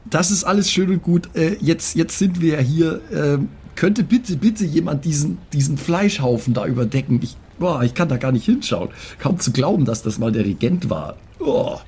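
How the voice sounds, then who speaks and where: A man playing a squeamish, skeptical adventurer in an improvised tabletop game, stammering and whining in a husky voice. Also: a little high, expressive, normal speed, a little mellow, heavily acted. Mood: disgusted. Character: squeamish, whiny, skeptical adventurer who casts fire spells. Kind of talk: improvised tabletop role-play.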